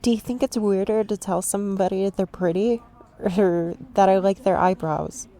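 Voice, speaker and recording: A woman's voice: medium pitch, steady tone, moderate pace, mellow, natural voice. A woman playing a shy, rambling cafe stranger. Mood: nervous. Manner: rambling, self-conscious, overthinking. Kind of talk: ASMR roleplay.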